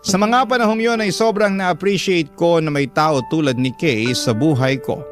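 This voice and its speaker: Filipino man narrating over music, slightly high. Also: normal speed. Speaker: radio drama story narrator. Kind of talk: first-person dramatic narration of a letter. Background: background music.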